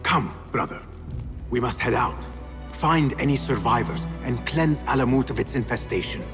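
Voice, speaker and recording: An actor playing a defiant young warrior of a secret order in a video game, grave and intense. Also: male voice, medium pitch, moderate pace, over music, natural voice. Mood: grave, urgent. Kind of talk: dramatic video game acting.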